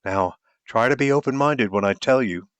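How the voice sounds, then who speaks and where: A man's voice: medium pitch, moderate pace, dry recording, close to an everyday voice. A man voicing an upbeat, teasing woman in an audiobook story, playful, insistent and coaxing. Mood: eager. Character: upbeat, teasing woman planning a karaoke duet.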